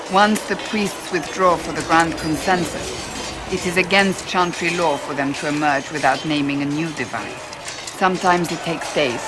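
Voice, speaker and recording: An actress playing a stern, devout rebel warrior woman in a video game, speaking formally and earnestly. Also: deep voice. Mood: sober explaining. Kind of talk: dramatic video game dialogue.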